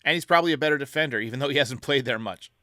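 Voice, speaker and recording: Bright, forceful male sports show host. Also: medium pitch, very fast, dry recording, studio quality. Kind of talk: baseball commentary answering voicemails.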